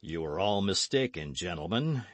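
A man voicing a grave, dry-witted gentleman musketeer in a measured, understated way for a storybook reading. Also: on the low side, sing-song, no room sound, full character voice.

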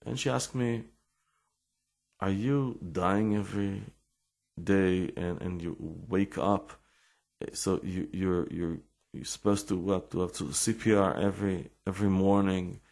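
Slow, gravelly Israeli man. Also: low voice, steady tone, darkish tone. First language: Hebrew. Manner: halting, many restarts and fillers. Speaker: rabbi telling his life story. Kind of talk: personal storytelling and explanation.

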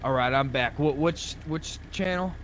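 A man's voice: a little high, measured pace, a bit bright, slight background noise. A man talking casually in character while playing an online roleplay game. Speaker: roleplay gamer.

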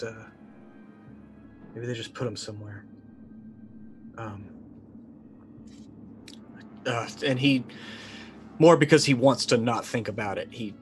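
A middle-aged man playing a tabletop roleplaying game, narrating casually and haltingly as he thinks aloud. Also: on the low side. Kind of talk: improvised in-character narration, casual. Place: indoor room, video call.

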